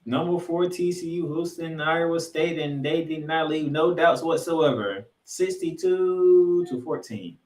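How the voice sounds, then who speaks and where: A man hosting a sports podcast, giving excitable football commentary in an announcer-like style with drawn-out, emphatic phrases. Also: fairly high pitch. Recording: echoey room, music underneath.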